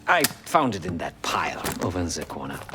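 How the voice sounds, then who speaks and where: An actor playing a fussy, courteous, sly tech expert in a video game, speaking formally and theatrically with precise diction. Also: male voice, sits low, very animated, brisk, background noise. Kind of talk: video game cutscene acting.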